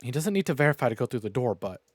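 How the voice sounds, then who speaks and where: A man streaming a visual novel, reading it aloud and reacting in an expressive, sweary way. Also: medium pitch, rapid-fire, no room sound, clean studio sound. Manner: expressive, profane. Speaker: game streamer.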